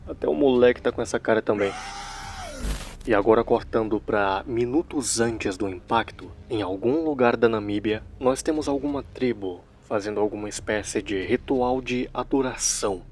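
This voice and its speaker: A man's voice: medium pitch, moderate pace, a little mellow, a little hiss or hum. A Brazilian man narrating a story recap in a casual commentary style, with wry, joking asides. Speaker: narrator of a horror series recap video.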